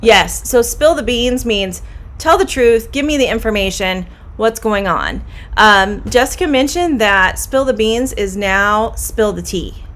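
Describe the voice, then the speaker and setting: A middle-aged woman with a Midwestern American accent, teaching English idioms on a live stream, friendly and repeating phrases slowly. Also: on the high side, expressive, a bit bright.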